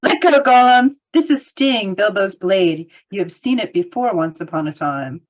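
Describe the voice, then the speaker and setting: A woman's voice: medium pitch, lively intonation, normal speed, lo-fi sound, lightly acted. A woman playing a stern, commanding male hobbit in a table read of a fantasy script. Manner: firm, commanding.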